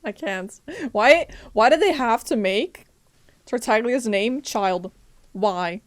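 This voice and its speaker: A woman's voice: a little high, lively intonation, slow pace, dry recording. A woman game streamer on a close mic, giving casual, joking, reactive commentary as she plays. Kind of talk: casual live gameplay commentary.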